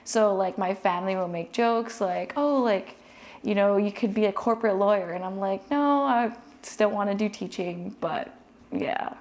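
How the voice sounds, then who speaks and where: A young college woman with a mid-range voice talks in a relaxed, casual way, often using "like". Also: mid-range voice, normal speed. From Hawaii. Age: young adult. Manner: relaxed, many "like" fillers. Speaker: student panelist. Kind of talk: casual chat.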